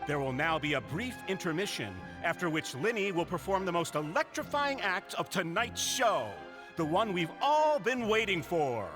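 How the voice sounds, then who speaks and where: Showman announcer, game stage: high pitch, lively swoops, grand and courteous, music and crowd behind. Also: moderate pace. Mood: polite announcing. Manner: grandiose, projected. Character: showy male theatre announcer, courteous and grand.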